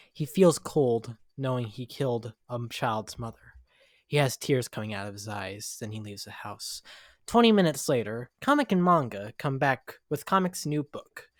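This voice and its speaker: A man's voice: mid-range voice, very animated, normal speed, no room sound, studio quality. A man narrating a scripted dramatic story, now and then stumbling over the words.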